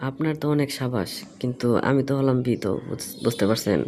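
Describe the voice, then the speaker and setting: Male, mid-pitched, brisk and probing, casual phone chat, slightly noisy recording. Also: darkish tone. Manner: probing, questioning at length. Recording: phone call recording with faint noise.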